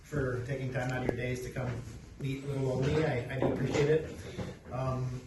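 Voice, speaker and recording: A middle-aged man from Peru, a company CEO, introducing his background in a halting, casual way with many restarts. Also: mid-range voice, level tone. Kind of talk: casual self-introduction talk. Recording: echoey room, distant mic, faint noise.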